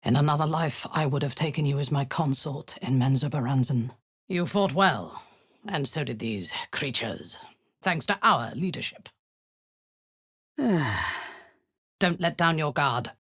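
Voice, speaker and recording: A woman playing a cruel, haughty drow cult commander in a video game, with a breathy, husky voice and a commanding, menacing manner. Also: low-pitched, measured pace, fairly bright tone, exaggerated voice. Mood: solemn, proud. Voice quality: breathy, husky, often dropping to a whisper. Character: imperious, cruel female drow cult commander, seductive and zealous.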